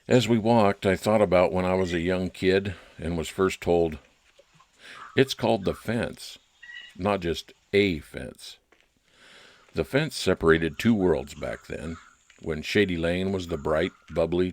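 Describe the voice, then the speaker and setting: Guy doing an old time radio detective narrator, low n crackly voice, lingers on phrases, noir storytelling. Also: vocal fry. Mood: reflective. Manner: deliberate, dramatic pauses, stretched descriptive phrases. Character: male private investigator narrating his case, noir storyteller.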